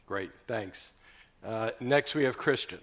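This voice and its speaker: A man moderating a conference panel on radio, laying out topics in a brisk, structured way. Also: fairly low pitch.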